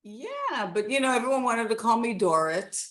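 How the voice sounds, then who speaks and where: A woman's voice: fairly high pitch, very animated, normal speed, a crowd in the background. A woman in her sixties from Canada, a guest telling her life story in a rambling, casual chat with many restarts.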